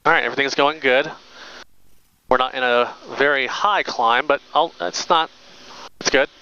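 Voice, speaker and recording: A man's voice: medium pitch, moderate pace, pushed and bright. An American man flying a small plane, talking through each step informally and making radio calls over an aviation headset. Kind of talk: cockpit talk and radio calls. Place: inside a small plane cockpit. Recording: dull cockpit intercom with engine noise.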